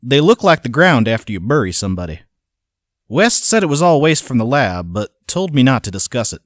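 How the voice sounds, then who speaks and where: A man's voice: mid-range voice, big pitch swings, a little fast, no echo, studio quality, natural voice. A man playing an uneasy, working-class groundskeeper who gives a colloquial, plainspoken journal account in an audio drama. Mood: uneasy, matter-of-fact.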